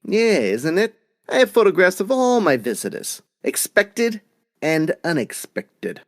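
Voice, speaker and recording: An actor playing a cunning, smug gangster boss, tough-talking and mock-polite, in old-time radio drama style. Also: male voice, high voice, big pitch swings, unhurried, no room sound, studio quality, full character voice. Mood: smug, boastful.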